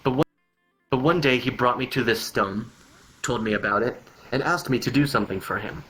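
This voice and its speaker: A man's voice: medium pitch, a little fast, a bit bright, rough recording. A man reading a story aloud unrehearsed, often stumbling and repeating words. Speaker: reader of a long joke story.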